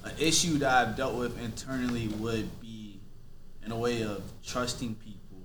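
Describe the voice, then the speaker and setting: A 22-year-old man on a podcast panel, speaking in a measured, reflective way. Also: mid-range voice, fairly even intonation. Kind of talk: casual roundtable chat. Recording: echoey room with background noise.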